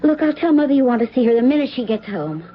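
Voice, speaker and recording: An actress playing an anxious, well-mannered grown daughter in an old-time radio drama. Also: female voice, high voice, very animated, fast. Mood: worried. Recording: muffled, noisy old radio recording.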